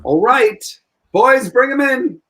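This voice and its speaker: A man's voice: extremely high pitch, lively intonation, takes it slowly, heavy background noise, full character voice. A man playing an earnest, persistent defense lawyer arguing in court in a 1940s-style radio play. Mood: commanding.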